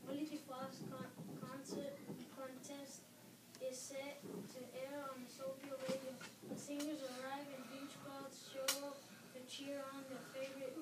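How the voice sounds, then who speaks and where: A young female reads scripted narration. The voice is high with little variation, in an echoey, noisy room. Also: completely flat delivery, measured pace. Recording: echoey room, far mic, loud noise.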